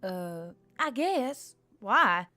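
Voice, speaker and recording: A woman's voice: medium pitch, very animated, drawn-out words, sharp tone, full character voice. A woman voicing an easygoing, wry human heroine in a fantasy story, casual and dry. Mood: drowsy.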